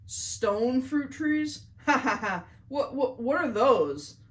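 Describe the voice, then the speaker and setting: A woman's voice: high-pitched, expressive, takes it slowly, pitched above the performer's own voice. A woman voicing a curious, easily amazed boy asking questions in a children's storybook. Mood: puzzled, curious. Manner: questioning, wide-eyed storybook dialogue.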